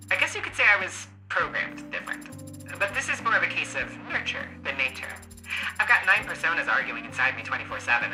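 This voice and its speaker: A woman voicing a brash, flamboyant, chatty female android court adjutant in a video game, loud and theatrical. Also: low-pitched, pitched above the performer's own voice, full character voice, rough recording. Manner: loud, theatrical, swooping, playful. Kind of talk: video game character voice acting.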